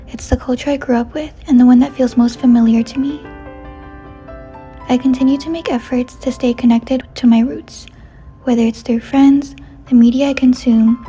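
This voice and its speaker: A woman in her twenties from New York City, whose first language is Chinese, reading a reflective personal voice-over in a soft, measured way. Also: high-pitched, steady tone, lo-fi sound, soft background music. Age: 20s. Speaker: narrator. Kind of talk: scripted personal narration.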